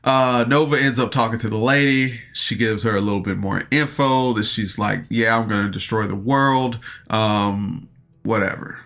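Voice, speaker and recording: A man's voice: lowish voice, moderate pace, a bit bright. A man hosting a review podcast, casually recapping an audio drama in an informal, rambling way.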